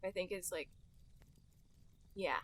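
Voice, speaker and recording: A woman's voice: lowish voice, slow. A woman co-hosting a comedy podcast, chatting casually and giggly as she reacts to the reading. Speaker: podcast co-host.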